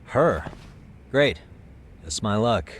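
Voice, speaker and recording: An actor playing a composed private detective in a video game dub, speaking in a measured, direct, probing way. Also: male voice, lowish voice, sing-song, a little hiss or hum, full character voice.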